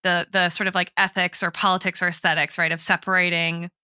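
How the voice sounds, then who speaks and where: A woman's voice: lowish voice, level tone, fast, pushed and bright, smooth, dry recording, studio quality. A woman co-hosting a podcast in an analytical, intellectual conversational style, often tagging her points with a checking "right?". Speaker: podcast co-host and academic in political theory.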